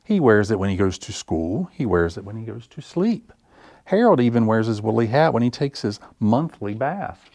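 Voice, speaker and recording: Lively low-voiced man reading to children. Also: dry recording. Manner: expressive, deliberate storytelling. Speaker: library story time reader. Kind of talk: animated picture-book narration for children.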